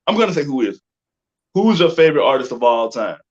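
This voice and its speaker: A man hosting a casual interview, speaking in a halting, conversational way with backtracking. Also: mid-range voice, very animated, darkish tone. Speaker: interview host. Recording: dull, muffled lo-fi audio.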